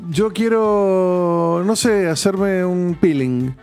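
A cheeky foreign male patient, put on by a radio co-host. He jokes deadpan. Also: high voice, very slow, smooth, soft background music, exaggerated voice. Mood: teasing.

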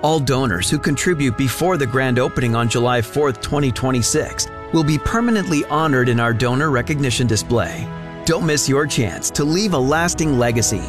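A man's voice: medium pitch, moderate pace. A man reading a scripted radio advertisement in a polished promotional voice. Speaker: announcer. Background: music.

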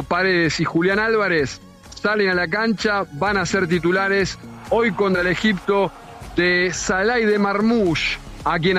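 A man reporting from outside a stadium for a radio sports show, steady and informative. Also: high-pitched. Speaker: field reporter.

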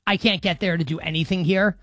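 A man's voice: high-pitched, steady tone, fast, fairly bright tone, no room sound, studio quality. A man co-hosting a sports betting show, joking about himself and calling plays live. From Greek heritage. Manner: jokey, self-deprecating, calls plays live. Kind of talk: casual sports betting chat with play-by-play.

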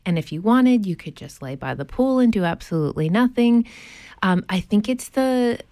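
A woman's voice: mid-range voice, animated, normal speed, dark tone, dry recording. A woman in her thirties from New Jersey with a faded New Jersey accent, chatting as a radio guest, laughing often and dropping into playful whispered asides. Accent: faded New Jersey American. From New Jersey, USA. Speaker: studio guest. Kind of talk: casual radio chat.

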